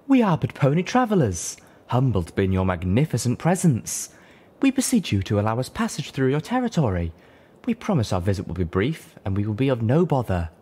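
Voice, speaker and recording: A man's voice: medium pitch, huge pitch swings, a little fast, a little mellow, breathy edge, voice pushed up. A man voicing a grand, honeyed unicorn mare diplomat, lofty and formally polite in a storybook reading. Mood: grand, pleading.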